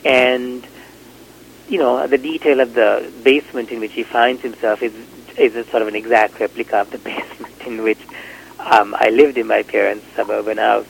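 Reflective man from Sri Lanka, gravelly. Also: mid-range voice, steady tone, normal speed, a little hiss or hum, poor audio. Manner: reflective, hesitant with fillers and restarts. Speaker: novelist. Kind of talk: thoughtful interview answers.

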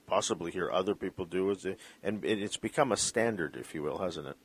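A man's voice: deep voice, a little fast, slight background noise. A male interview host who asks his questions hesitantly, with many restarts and fillers. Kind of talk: conversational interview.

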